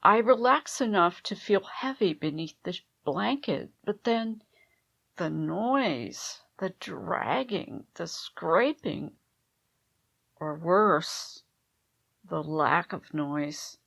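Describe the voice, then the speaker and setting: A woman playing a tense, weary hostess in a dangerous world in an audio drama, speaking in a measured, guarded way. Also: lowish voice, lively intonation, gravelly, fairly bright tone, no echo, heavily acted. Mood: weary, haunted.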